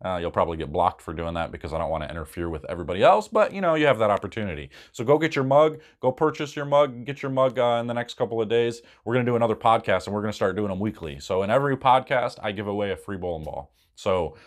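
A deep-voiced man in his thirties talks straight into a close camera, walking viewers through bowling angles one step at a time. He sounds casual and reassuring, dropping the odd "uh" as he explains. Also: animated, fast, hardly any pauses. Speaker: bowling coach. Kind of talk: casual instructional talk to camera. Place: small room indoors.

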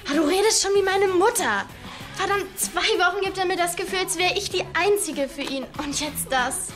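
A woman's voice: very high-pitched, moderate pace, a bit bright, soft background music. An actress playing an emotional, insistent teenage schoolgirl in a TV series. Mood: upset. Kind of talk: TV series acting. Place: indoors.

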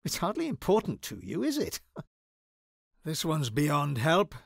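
A wry bookish wizard, highly animated. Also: male voice, a little slow, gravelly, no echo, studio quality, heavily acted. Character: bookish wizard, wry, anxious, guarded scholar of devils. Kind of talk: video game voice lines.

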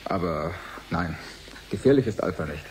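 An actor playing a calm, dutiful scientist being interviewed in a radio drama, sober and matter-of-fact. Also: male voice, sits low, moderate pace, a little hiss or hum, low-quality recording. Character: calm, dutiful male scientist volunteering for experiment. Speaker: research assistant, scientist.

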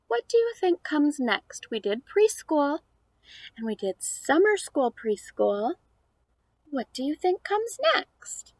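High-voiced lady teacher doing a slow read aloud for little kids, super up and down, sing-song. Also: no echo. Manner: exaggerated sing-song child-directed delivery with questions. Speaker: preschool teacher. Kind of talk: read-aloud for young children. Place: outdoors on a house porch.

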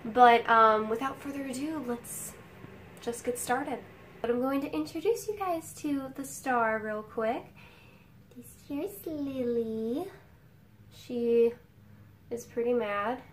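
A young woman with a nasal, stuffed-up voice chats her way through a makeup routine. She rambles, with plenty of fillers, and her voice sits a little high. She was recorded on a camera mic in a home bedroom, with some faint background noise. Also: slow. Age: young adult. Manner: chatty, rambling with many fillers. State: sick, nasal. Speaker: beauty vlogger. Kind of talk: casual makeup tutorial chat.

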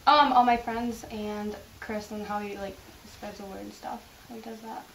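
A woman's voice: mid-range voice, steady tone, moderate pace, distant mic, a little hiss or hum, poor audio. A teenage girl answering interview questions with hesitant ums, speaking into a handheld mic. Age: teens. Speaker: youth group member. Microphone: handheld mic held by interviewer.

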